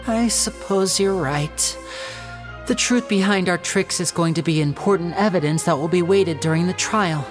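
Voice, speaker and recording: A man's voice: high voice, soft background music, lightly acted. A man as an eloquent young stage magician. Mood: wistful, proud. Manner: courteous, articulate, measured. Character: polite young male stage magician, earnest, eloquent, gently theatrical. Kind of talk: video game voice acting.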